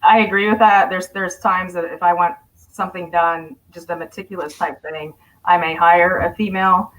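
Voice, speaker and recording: A woman's voice: on the low side, fairly even intonation, normal speed, fairly bright tone, poor audio. A woman who runs a landscaping business, speaking frankly on a video call panel with some fillers. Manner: frank, plain-spoken, with fillers. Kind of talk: casual panel discussion.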